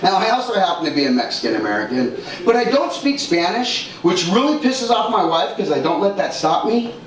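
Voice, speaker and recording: Animated male stand-up in an echoey club. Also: high-pitched, a little fast. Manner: punchy, exaggerated character voices. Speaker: stand-up comedian. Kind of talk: stand-up comedy set. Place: comedy club stage with laughing audience. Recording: echoey club audio from far off, loud room noise.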